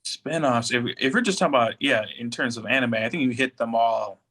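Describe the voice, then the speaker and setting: A man's voice: medium pitch, brisk, bright, forceful tone. A man speaking as a panelist in a casual fan discussion, explaining his points conversationally. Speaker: podcast panelist.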